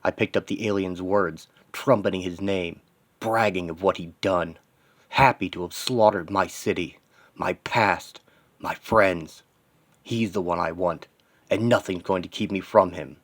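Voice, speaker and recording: Man performing a grim, low-voiced vengeful superhero. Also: a little slow. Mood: grieving, vengeful. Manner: terse, dramatic inner monologue in short fragments. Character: grieving, vengeful male superhero, grimly determined and anguished.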